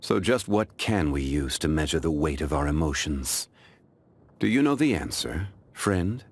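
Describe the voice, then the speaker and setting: Ancient immortal man, game character, very deep voice, slow deliberate delivery, composed, refined, wise. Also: expressive, husky, heavily acted. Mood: courteous. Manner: measured, formal, refined, deliberate. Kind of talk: scripted video game character voice lines.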